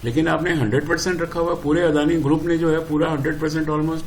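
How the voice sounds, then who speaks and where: A man's voice: fairly high pitch, fairly even intonation, fast, slight background noise. An Indian man giving an informal, opinionated explainer as an educator, sounding exasperated and repeating himself with rhetorical questions, switching between two languages. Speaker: stock market educator. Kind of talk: informal explanatory commentary with Hindi-English code-switching.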